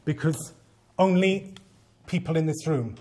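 A man's voice: fairly high pitch, unhurried, dark tone, slight background noise. A Welsh man in his forties, a head teacher speaking at a conference to a room of teachers, theatrical and teasing, acting out quoted voices. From Wales. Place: conference training room with seated audience.

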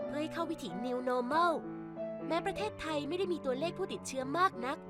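A woman voicing an earnest, bossy schoolgirl class leader in an animated cartoon. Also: sits high. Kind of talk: animated cartoon dialogue and narration.

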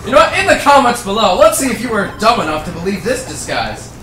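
A young American man playing a video game with friends, excitable and cursing, with loud outbursts. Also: high voice, fast, a little hiss or hum. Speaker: gamer. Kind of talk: casual group let's-play banter. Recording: roomy room mic from afar, game music.

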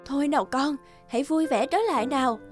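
A woman playing a caring, gently firm young mother in a children's cartoon. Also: high voice, a little fast, music in the background, lightly acted. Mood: tender.

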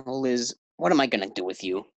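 A man's voice: mid-range voice, lively intonation, fast talker, natural voice. A man acting a sensible, exasperated husband in a 1940s-style radio sitcom. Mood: stern.

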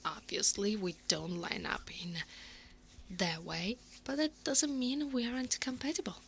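Soft whispering centaur woman, acted by a woman, teasing. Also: medium pitch, steady tone, measured pace, a little hiss or hum. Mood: coy, soothing. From Italy. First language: Italian. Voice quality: breathy, often whispering. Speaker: ASMR roleplay performer. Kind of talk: ASMR roleplay.

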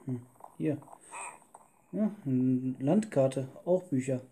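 A man's voice: mid-range voice, takes it slowly, dark tone, a little hiss or hum. A man giving casual, easygoing gameplay commentary as a let's player, with murmured asides. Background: music.

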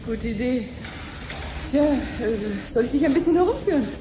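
An actress playing a friendly, patient cancer researcher in a radio drama, explaining things clearly like a teacher. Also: female voice, a little high, expressive, normal speed, noisy background, close to an everyday voice. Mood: friendly, casual. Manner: didactic, clearly phrased explaining. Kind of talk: 1970s German radio drama acting.

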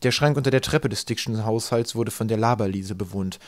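A man narrating a comic children's story from a script, with dry, deadpan storytelling. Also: fairly low pitch, a little fast, dry recording. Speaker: audiobook narrator.

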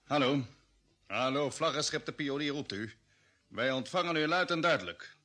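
A level-headed space expedition leader in an old radio play. He is a man with a bright, slightly rough voice, giving orders and firm questions. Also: mid-range voice, moderate pace. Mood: alert. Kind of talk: vintage Dutch radio drama acting.

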